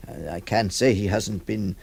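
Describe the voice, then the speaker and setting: A man's voice: lowish voice, normal speed, creaky, a little mellow. An actor playing a flustered, hesitant lawyer who stammers, also narrating his story in a radio drama. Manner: stammering, exasperated, theatrical.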